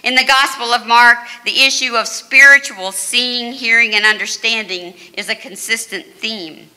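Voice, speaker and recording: An older woman pastor delivering a measured, earnest sermon at a lectern mic in a church sanctuary. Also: fairly high pitch, fairly even intonation, sharp tone. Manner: measured, earnest preaching with dramatic quoted voices. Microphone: stand mic at a lectern, far camera. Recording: reverberant church hall.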